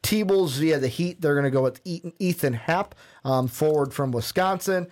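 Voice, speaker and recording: Male podcast co-host, casual list reader with a slightly higher voice who fills pauses with ums. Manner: plain list reading with filler ums. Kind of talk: casual podcast chat, reading out draft picks.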